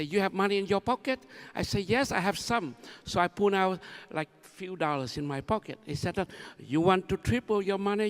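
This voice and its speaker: A man of seventy with a Vietnamese accent gives an informal conference speech full of personal stories. His voice is fairly high and gravelly, and his phrasing is halting and repetitive. It rings in a large echoey hall. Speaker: conference speaker, new board member.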